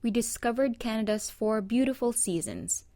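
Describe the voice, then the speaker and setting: A woman narrating a scripted presentation in a measured, read-aloud manner. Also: fairly high pitch, dark tone, no echo, clean studio sound.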